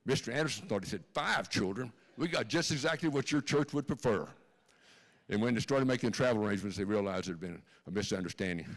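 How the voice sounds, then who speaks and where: An older man with a Texan accent speaks in a very deep, low voice to a church congregation. He tells a personal story earnestly and repeats key lines for emphasis. He sounds like a guest speaker giving his testimony in a church sanctuary. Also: expressive, fast talker. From Texas, born in Austria.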